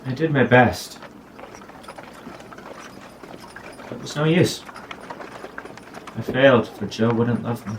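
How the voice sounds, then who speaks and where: A man playing an idle, lovelorn young gentleman in a period audio drama, bantering wryly with a wounded edge. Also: medium pitch, a little slow, slight background noise, lightly acted, poor audio.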